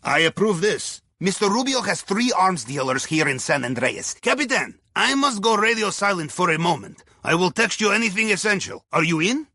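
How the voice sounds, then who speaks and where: An actor playing a jovial, wily Russian heist planner in a video game, husky-voiced, with a Russian accent and theatrical, clipped briefing lines. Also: male voice, sits high, sing-song, normal speed, hardly any pauses, sharp tone, no echo, studio quality, heavily acted. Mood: brisk, matter-of-fact. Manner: theatrical, clipped phrasing with dropped articles. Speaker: mission guide in a video game. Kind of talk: video game character dialogue, mission briefing.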